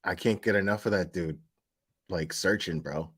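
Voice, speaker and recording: A man's voice: sits low, normal speed, a bit bright, husky. A man hosting a livestream, giving casual, slangy and sarcastic commentary on clips in an amused way. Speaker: livestream host reacting to clips.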